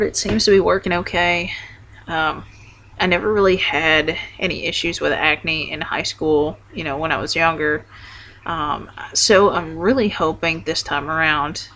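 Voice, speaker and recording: A young man giving a casual video update, talking hesitantly with lots of ums and restarts. His voice wobbles between deeper and higher tones. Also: sharp tone, slight background noise, rough recording. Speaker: vlogger.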